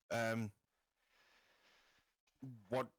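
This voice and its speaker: A man's voice: mid-range voice, fairly bright tone, dry recording. A man playing a tabletop roleplaying game, talking casually with a dry, hesitant delivery.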